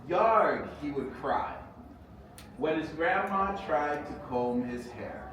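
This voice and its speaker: Male storyteller, higher pitch, measured and expressive children's book reading, very reverberant room with clear background noise. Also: far from the mic. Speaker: author reading own book aloud. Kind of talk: children's storybook reading with sung hymn passages. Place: echoey indoor event space.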